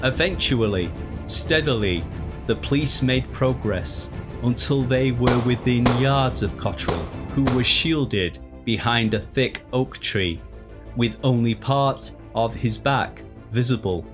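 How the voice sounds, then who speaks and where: A man narrating a historical crime tale in a measured, deliberate, dramatic storytelling style. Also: medium pitch, over music.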